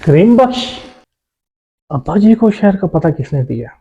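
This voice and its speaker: A man's voice: on the high side, big pitch swings, dark tone, a little hiss or hum. A man acting as a doubting, self-serving grown son in a moral drama. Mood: alarmed, scheming. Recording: dull lo-fi sound, faint music.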